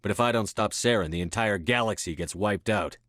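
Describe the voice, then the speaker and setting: A man's voice: fairly low pitch, fairly quick, a bit bright, no room sound, studio quality, lightly acted. A man voicing a resolute, earnest human starship commander in a video game, firm and clipped in his delivery. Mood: determined. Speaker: voice actor. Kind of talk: video game dialogue voice acting.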